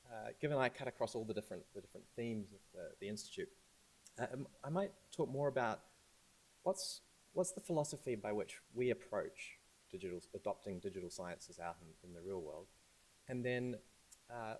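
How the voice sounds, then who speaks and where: A man's voice: on the low side, animated, brisk, darkish tone, slightly rough, low-quality recording. A man with a New Zealand accent, a digital sciences researcher and consultant, giving a thoughtful, somewhat hesitant talk at a launch event. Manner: thoughtful, hesitant with fillers and restarts.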